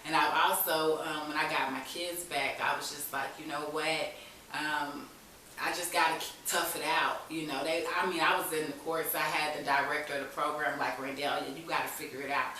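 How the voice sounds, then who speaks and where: A woman's voice: low voice, fairly even intonation, fairly quick, bright, forceful tone. An American woman telling her personal story candidly as a guest, in earnest, colloquial, emphatic talk where she repeats herself. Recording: echoey room, distant mic, faint hiss.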